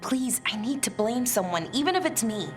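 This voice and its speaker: A woman voicing an anxious, earnest young elf adventurer in a video game, halting and quick to blame herself. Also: fairly high pitch, animated, natural voice. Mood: anxious, guilty. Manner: halting, hesitant, repeats herself. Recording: game music behind the voice.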